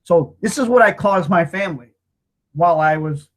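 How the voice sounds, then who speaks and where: A man in his fifties tells his own story in a voice that sits a little high for a man. He speaks with raw emotion, halting and repeating himself as he works through painful memories. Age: 50s. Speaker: host telling his life story. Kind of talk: personal testimony.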